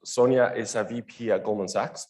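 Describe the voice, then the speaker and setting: A young man moderating a conference panel, reading out guest introductions in a chatty, lively way with small laughs. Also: on the low side, moderate pace.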